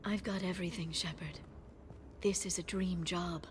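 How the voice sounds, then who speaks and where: A woman playing a gentle, scholarly alien information broker in a video game, speaking softly and earnestly. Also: on the low side, fairly even intonation, normal speed, a little hiss or hum, close to an everyday voice. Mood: earnest, absorbed.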